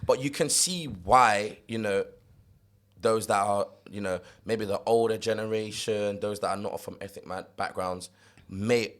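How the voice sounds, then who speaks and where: Low-voiced young London man. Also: unhurried. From South London, England. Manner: animated, repeats words when excited. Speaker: podcast co-host. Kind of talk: casual chat.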